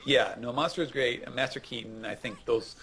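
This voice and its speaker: A man chatting casually about manga as a podcast co-host, in a hesitant, halting way. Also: medium pitch, fairly bright tone, breathy edge.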